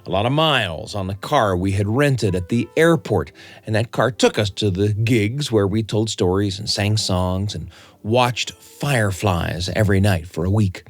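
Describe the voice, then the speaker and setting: A middle-aged American man hosting and storytelling, speaking in an earnest, deliberate, reflective way. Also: on the low side, soft background music. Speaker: host and storyteller. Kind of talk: reflective conversation and personal storytelling.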